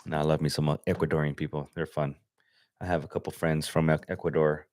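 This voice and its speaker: A young man from Los Angeles chatting casually on a livestream as he edits photos, relaxed and slangy, thinking aloud with fillers. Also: extremely low pitch, fast, dry recording, clean studio sound. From Los Angeles, USA. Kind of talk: casual livestream chat while editing. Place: home desk setup.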